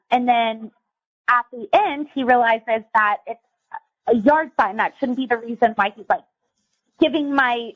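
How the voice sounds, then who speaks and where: A woman's voice: fairly high pitch, slightly rough, highs cut off. A young American woman calling a radio show, explaining herself casually and hesitantly with lots of filler words. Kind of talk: casual conversation.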